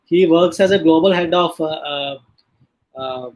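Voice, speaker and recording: A man hosting a live online panel, casually introducing guests in a halting way with many filler sounds. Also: on the high side, a little mellow. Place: online live video session.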